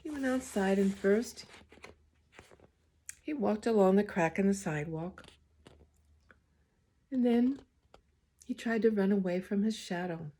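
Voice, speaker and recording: A woman reading a picture book aloud to young children, expressive and gently pausing to speak to her listeners. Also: mid-range voice. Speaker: storybook reader, school well-being coordinator.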